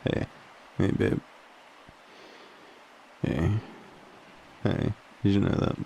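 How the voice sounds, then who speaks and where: A man's voice: deep voice, drawn-out words, gravelly, mellow, a little hiss or hum, poor audio. A tired man with an Australian accent, speaking sleepily and affectionately in an intimate bedtime boyfriend roleplay. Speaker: audio roleplay performer playing a boyfriend.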